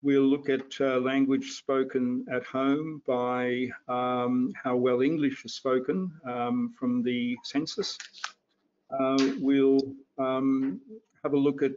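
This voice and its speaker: An older man moderating a panel on a video call, speaking haltingly with many fillers. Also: medium pitch, little pitch movement, a little mellow, lo-fi sound.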